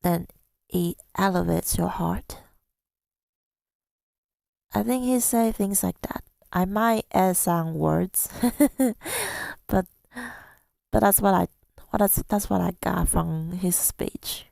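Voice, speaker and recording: A woman speaks softly and slowly in a lowish voice, heavy with fry. She tells her story in a gentle ASMR manner with a breathy, whispery edge, very close and dry on the microphone. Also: no room sound. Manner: gentle, unhurried, partly whispered. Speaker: ASMR audio creator. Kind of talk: soft-spoken ASMR storytelling.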